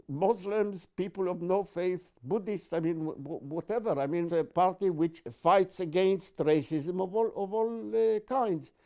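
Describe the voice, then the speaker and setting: An elderly man in his eighties, from Palestine, gives personal testimony in a mellow, fairly high voice. He is emphatic, often stumbling and restarting as he builds to his point. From born and raised in Palestine. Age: 80s.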